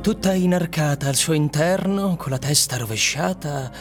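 An actor playing a grave storyteller, narrating an eerie tale for radio drama in a measured, solemn manner. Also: male voice, fairly high pitch, close to an everyday voice. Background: faint music.

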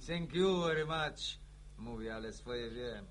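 Sharp-voiced, needling village man. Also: medium pitch, takes it slowly, a little hiss or hum. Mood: mocking. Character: needling, sceptical village man who likes a drink. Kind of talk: radio drama acting.

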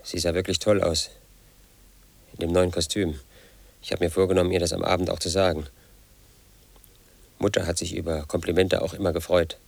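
An actor playing a brooding, paranoid man in his thirties, telling his own story as a flat inner monologue in a radio play. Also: sits low, a little fast, creaky, pauses often, no room sound. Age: 30s. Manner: flat, brooding, quietly obsessive.

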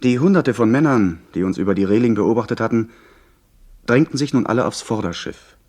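Earnest young gentleman narrator, lowish voice, literary storytelling, radio play. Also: lively intonation, brisk. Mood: measured, literary. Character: earnest young gentleman recalling his pirate adventure. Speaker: first-person narrator. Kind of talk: scripted dramatic narration.

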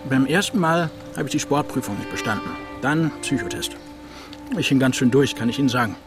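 An actor plays an easygoing male police officer in a radio drama, with a mid-pitched voice. He talks casually and modestly, understated and conciliatory, with music clearly running behind him. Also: expressive, brisk, natural voice. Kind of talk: naturalistic radio drama acting.

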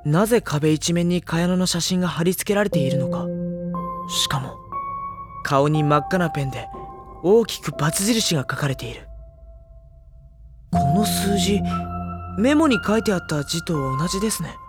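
Teenage schoolboy lead and narrator, acted, audio drama: courteous, matter-of-fact, reflective, set over clear background music. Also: medium pitch, expressive, moderate pace, long pauses, close to an everyday voice. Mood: pensive, then observant. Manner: polite, restrained, matter-of-fact. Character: polite, level-headed teenage schoolboy, quietly reflective narrator. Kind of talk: Japanese audio drama acting and first-person narration. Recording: studio drama with music behind.

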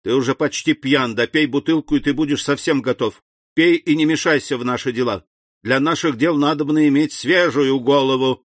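A man's voice: mid-range voice, no echo, pitched above the performer's own voice, close to an everyday voice. Man doing a sly, scornful, insinuating schemer. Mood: false friendliness. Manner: insinuating, mocking, deliberate. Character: sly, calculating, scornful schemer with false friendliness.

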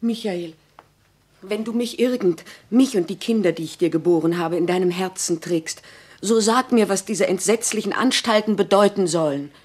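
An actress playing a devoted, anxious but determined wife in a radio drama, earnest and pleading in old-fashioned language. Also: female voice, medium pitch, normal speed, darkish tone, lightly acted.

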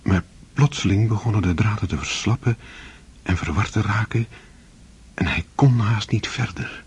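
An actor playing a solemn, measured literary narrator in a radio drama, with a husky voice. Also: male voice. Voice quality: deep, breathy.

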